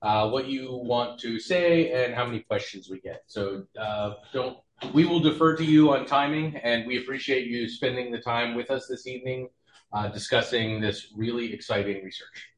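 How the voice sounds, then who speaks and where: A man's voice: mid-range voice, normal speed, reverberant, poor audio. A man chairing an amateur astronomy club meeting, friendly and chatty with mild hesitations.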